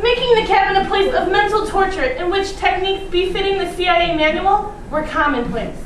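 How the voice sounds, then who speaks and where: A woman's voice: extremely high pitch, a little fast. A woman performing readers theatre, telling a story with dramatic, emphatic delivery. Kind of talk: theatrical ensemble storytelling on stage. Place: large indoor performance space, far mic. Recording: echoey hall from far off, noisy.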